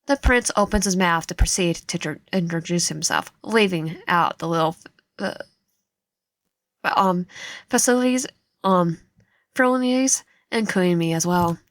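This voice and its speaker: Lower-pitched woman gamer in an echoey room. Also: measured pace, pauses often. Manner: stumbles over words while reading. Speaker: gaming channel host. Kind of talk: let's play commentary, reading aloud. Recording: echoey room, faint music behind. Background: faint music.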